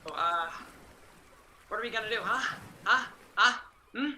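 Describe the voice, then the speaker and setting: A man playing Anxiety personified, a jittery, childishly defiant fireball who stutters and repeats words at rapid fire in a play reading. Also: sits high, sharp tone, slight background noise, heavily acted. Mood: jittery, eager.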